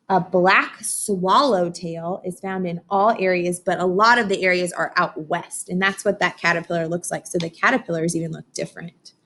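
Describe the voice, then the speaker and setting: A woman reading a children's book aloud like a teacher, expressive and instructive. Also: on the low side, slight background noise. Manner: expressive, instructive, with dramatic pauses and hushed asides. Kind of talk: children's read-aloud with explanations and questions to listeners.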